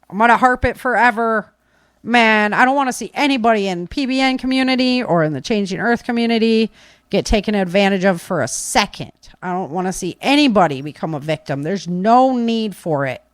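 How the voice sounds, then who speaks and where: A woman's voice: medium pitch, no echo. A woman talking casually and insistently, repeating key phrases for stress like a podcast speaker giving firm advice. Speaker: podcast speaker on preparedness and self-defense. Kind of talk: casual, opinionated talk.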